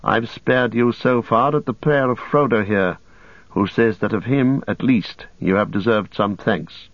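A grave captain speaking with solemn authority, read by a male narrator in a lowish voice. His speech is formal and deliberate, with each sentence set down firmly. The recording is dry and slightly dull. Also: no echo. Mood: stern, grave. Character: stern male captain passing judgment, grave and measured. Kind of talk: audiobook character voice.

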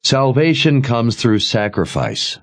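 A man's voice: medium pitch, slow pace, voice pushed up, lightly acted. A man voicing a devious young male soldier in a fantasy audiobook, soothing and evasive. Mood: defensive.

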